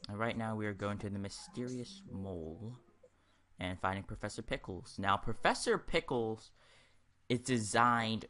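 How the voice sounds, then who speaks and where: A man's voice: lowish voice, a little slow, pushed and bright. A man giving casual let's play commentary on a video game, in a hesitant, rambling, stop-and-start way. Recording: game music behind the voice. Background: video game music.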